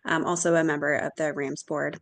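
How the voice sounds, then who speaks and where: A woman's voice: sits low, little pitch movement, fairly quick, fairly bright tone. A young woman resident welcoming attendees and explaining the webinar plan. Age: young adult.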